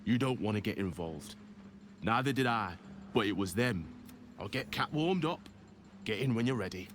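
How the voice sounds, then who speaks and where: A man playing a wary, rough-talking pilot in a video game, blunt, slangy and grudging in manner. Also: fairly low pitch, animated, normal speed, fairly bright tone, a little hiss or hum. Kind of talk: video game character acting.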